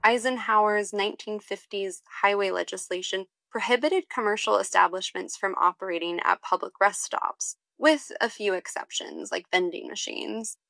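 A woman's voice: medium pitch, vocal fry, sharp tone, no room sound. A woman from the United States reading her own wry, heartfelt essay as scripted narration.

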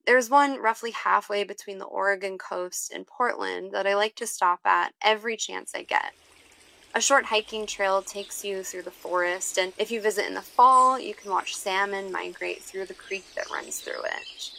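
A woman's voice: mid-range voice, moderate pace, creaky, sharp tone, rough recording. A woman from the United States reading her own wry, heartfelt essay as scripted narration.

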